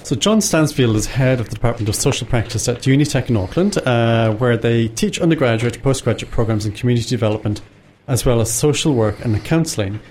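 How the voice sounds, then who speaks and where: A man's voice: mid-range voice, brisk, darkish tone. A man hosting a radio interview show, speaking informally in loose, casual phrases. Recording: clear background room noise.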